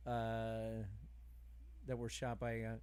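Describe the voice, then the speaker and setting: A man's voice: on the low side, monotone, slow, a little mellow, clear, resonant tone. A middle-aged man in a casual podcast chat, talkative and opinionated. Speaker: podcast speaker.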